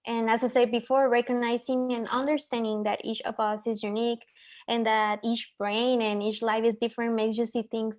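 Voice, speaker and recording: A woman's voice: a little high, darkish tone. A woman whose first language is Spanish, speaking English with a Mexican Spanish accent as a panelist, earnest and reflective with hesitant restarts. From northern Mexico. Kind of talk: webinar panel discussion.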